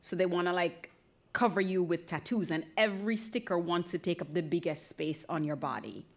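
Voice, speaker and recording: A woman with a Jamaican accent talking candidly on stage, unhurried, thoughtful and playful. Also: on the low side. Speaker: speaker in a live conversation event. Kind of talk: reflective, candid talk before a live audience.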